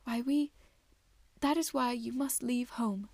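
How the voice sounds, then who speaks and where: Gentle mother troll, storybook, quiet and faltering, read by a woman. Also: fairly high pitch, dry recording. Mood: tearful. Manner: soft and halting. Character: tender, weeping mother troll.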